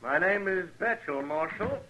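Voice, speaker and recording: A genial, smooth-talking doctor new to a frontier town. His forceful, animated delivery is formal and eager to please, in the manner of vintage radio drama. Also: high-pitched, a little slow, lo-fi sound, a little hiss or hum, full character voice. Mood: genial, ingratiating. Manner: courtly, ingratiating, formal. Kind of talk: 1950s radio western acting.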